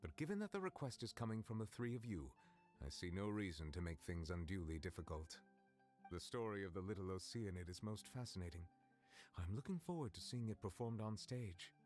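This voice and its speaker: A man voicing a dignified, courteous high official in a video game, speaking in a formal, measured, polite manner. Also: big pitch swings, darkish tone, faint music behind. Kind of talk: scripted video game acting.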